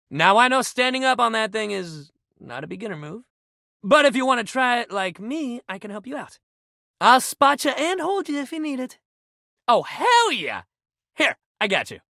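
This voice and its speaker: An eager gym bro from a video game, voice swings all over, super dry close recording, casual slangy coach talk. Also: male voice, very high voice, pushed and bright, dry recording, heavily acted. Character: upbeat, eager sports-loving gym buddy, anxious to please. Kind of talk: animated video game voice acting.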